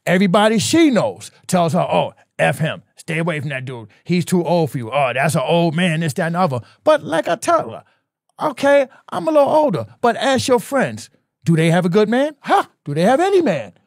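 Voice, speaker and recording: A man's voice: high-pitched, very animated, brisk, no echo. Earnest older man, dry studio. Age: 60s. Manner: earnest, repeats himself. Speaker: podcast guest. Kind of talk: casual chat.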